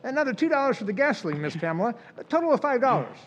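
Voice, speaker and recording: An actor playing a polite, deferential small-town garage mechanic in an old-time radio drama, hesitating with little fillers. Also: male voice, very high voice, very animated, rapid-fire, big-room sound, a little hiss or hum. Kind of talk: 1940s radio acting.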